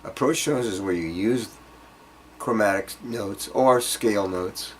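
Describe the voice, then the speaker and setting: A man giving an informal guitar lesson, speaking haltingly as he thinks aloud and demonstrates. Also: lowish voice, a little hiss or hum. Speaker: guitar teacher. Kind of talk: informal instructional music lesson. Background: acoustic guitar playing.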